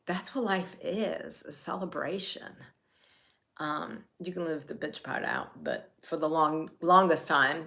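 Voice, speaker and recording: A woman's voice: deep voice, creaky, a bit bright. A middle-aged woman from New Jersey, talking casually while guiding a self-care healing session, chatty and rambling with many restarts.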